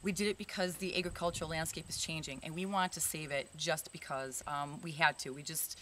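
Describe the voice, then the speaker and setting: A woman's voice: low voice, steady tone, a little fast, fairly bright tone, slight background noise. A woman in her fifties, a barn owner, talking earnestly in a casual interview outdoors, with many fillers.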